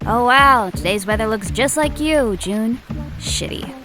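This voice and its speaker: A woman's voice: a little high, lively intonation, measured pace, music in the background, low-quality recording. A woman voicing a cold, sarcastic teenage anime girl who delivers mock-sweet barbs. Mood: dry sarcasm. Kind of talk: scripted cartoon parody acting.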